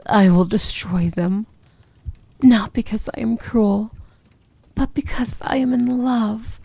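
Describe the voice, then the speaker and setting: A woman playing a powerful, possessive princess of evil in a romantic fantasy ASMR roleplay, breathy and often whispering, commanding yet tender. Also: medium pitch, warm tone, dry recording, exaggerated voice. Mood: protective, devoted.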